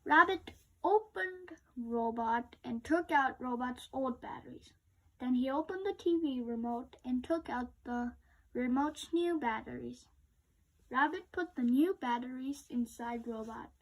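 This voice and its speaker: A woman's voice: sits high, a little slow, fairly bright tone, no room sound, poor audio. A woman reading a children's storybook aloud, chatting to young listeners between passages. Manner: asks young listeners questions. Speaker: storybook reader.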